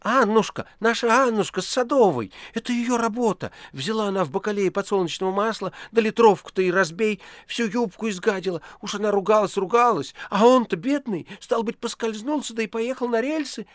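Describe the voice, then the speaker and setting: A man voicing a sharp-nosed gossiping woman in a storybook reading, talking fast and shrill. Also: dry recording, studio quality, lightly acted. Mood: excited.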